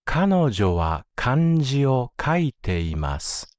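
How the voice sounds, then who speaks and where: A man reading short language-course prompts in a clear, measured way. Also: mid-range voice, sing-song, darkish tone, no echo, studio quality. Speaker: course audio narrator.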